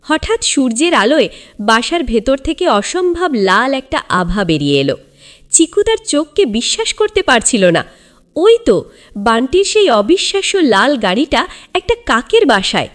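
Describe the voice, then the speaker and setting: Animated, high-voiced woman storyteller. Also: moderate pace. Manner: dramatic, suspenseful. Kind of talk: dramatic scripted children's story narration.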